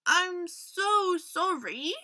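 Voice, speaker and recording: Woman voicing a high little sheepish mole. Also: lively intonation, drawn-out words, bright, forceful tone, exaggerated voice. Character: little apologetic clumsy mole.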